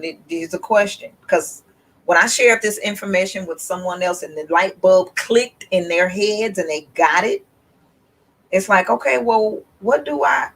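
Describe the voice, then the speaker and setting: A woman hosts her own stream and speaks at a slow, deliberate pace. She circles back and restarts her points. Her pitch sits in an ordinary middle range. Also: a bit bright, low-quality recording. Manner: slow, rambling and emphatic, with restarts and laughs. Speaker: host. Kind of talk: casual livestream talk.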